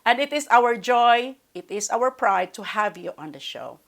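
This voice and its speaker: A woman's voice: fairly high pitch, expressive, normal speed, creaky. Woman hosting an interview. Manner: formal, announcer-like introductions with slips. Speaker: interview show host.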